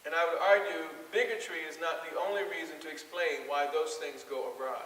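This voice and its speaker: Male academic speaker, public lecture delivery, deliberate rhetorical phrasing, distant hall sound with a faint hiss. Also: fairly high pitch, steady tone, fairly bright tone. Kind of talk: public lecture with questions to the audience. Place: large indoor auditorium with aisles.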